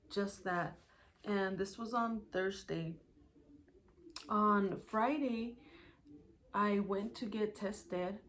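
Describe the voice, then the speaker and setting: A young woman talking casually to her channel, recounting events day by day from her notes with plenty of fillers. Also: medium pitch. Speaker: YouTuber. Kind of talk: casual vlog storytelling.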